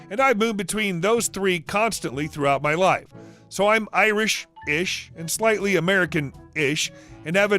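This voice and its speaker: Male story narrator, high voice, even matter-of-fact read. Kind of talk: scripted story reading.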